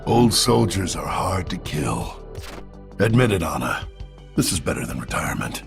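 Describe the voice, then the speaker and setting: An actor playing a stubborn, world-weary old soldier in a video game, gruff and terse with a gravelly voice. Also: male voice, low voice, big pitch swings, moderate pace, music in the background, heavily acted. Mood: wry, stubborn. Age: older.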